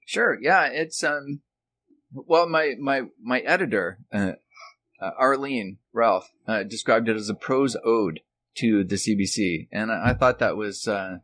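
A man's voice: medium pitch, a little slow, a bit bright. A middle-aged man co-hosting a casual chat, speaking hesitantly with dry, self-deprecating humour. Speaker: co-host, author.

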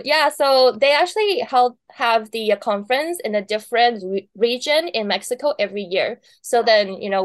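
A woman's voice: on the high side, dry recording. A woman from Taiwan, a wedding planner, chatting casually as an interview guest, laughing often between thoughts.

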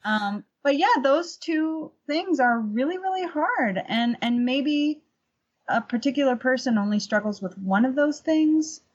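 A middle-aged woman chatting casually as an interview guest and painting teacher, telling anecdotes in an animated, emphatic way. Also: sits high, a little slow, highs cut off.

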